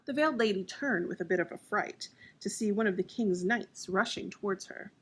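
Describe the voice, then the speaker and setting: A woman's voice: mid-range voice, normal speed, slight background noise. A woman narrating as an author and storyteller. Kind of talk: scripted audiobook narration.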